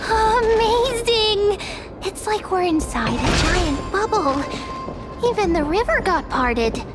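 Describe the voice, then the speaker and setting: A little magical game companion pipes up in an extremely high, childlike voice. The delivery is cheerful and eager, lilting widely but never rushed. Music plays behind it. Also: female voice, full character voice. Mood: amazed. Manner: bright-eyed, eager, expressive. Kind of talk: video game character acting.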